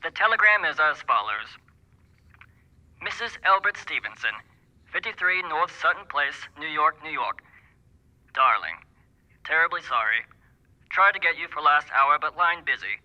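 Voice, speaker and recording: A brisk, impersonal telegraph clerk heard down a telephone line in a radio play. His voice is very sharp and fairly high, formal and businesslike, with a band-limited sound. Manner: formal, flat recital of messages. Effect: telephone filter. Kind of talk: radio drama acting. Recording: muffled narrow telephone-style sound.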